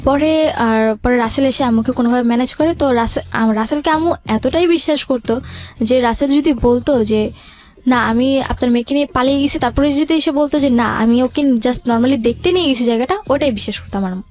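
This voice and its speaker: A woman's voice: sits high, brisk, darkish tone. A woman with a Bangladeshi accent tells her own life story in a radio interview, quoting conversations and mixing in English words, in a voice altered to hide her identity. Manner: quotes remembered conversations, mixes in English words.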